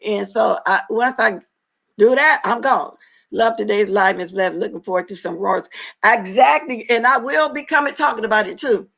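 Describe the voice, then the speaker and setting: A middle-aged woman with a raspy voice hosting a casual livestream, talking bluntly and straight to her chat. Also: mid-range voice, normal speed, a bit bright. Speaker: livestream host. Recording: dull lo-fi livestream audio.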